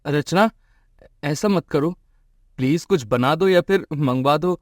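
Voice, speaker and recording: A man's voice: fairly high pitch, slow pace, dry recording, studio quality. A man voicing a meek, ailing young husband in a moral story, pleading and submissive. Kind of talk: acted moral story dialogue for animation.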